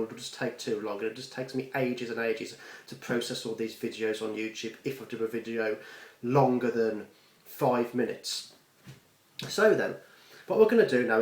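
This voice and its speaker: A British man giving a casual, unscripted home cooking demonstration, rambling along with offhand, self-deprecating asides. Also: mid-range voice. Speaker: home cook presenting a recipe video. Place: home kitchen. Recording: echoey kitchen with frying, clattering noise.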